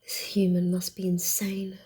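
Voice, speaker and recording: A woman voicing a wary, dryly teasing vampire in a soft ASMR roleplay, often dropping to a whisper, with a grumbling, hesitant manner. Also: on the low side, completely flat delivery, measured pace, close to an everyday voice. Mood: uneasy musing.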